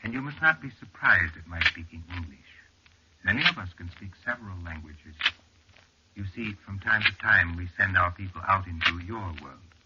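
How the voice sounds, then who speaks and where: Serene, enigmatic male guide from old radio horror; lowish voice, formal and unhurried, heavy noise, muffled sound. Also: lively intonation. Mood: patient, mysterious. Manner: formal, measured, polite, unhurried. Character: calm, courteous, mysterious male cliff-dweller guide. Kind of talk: 1940s radio horror acting. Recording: very noisy, muffled, clicky vintage radio recording.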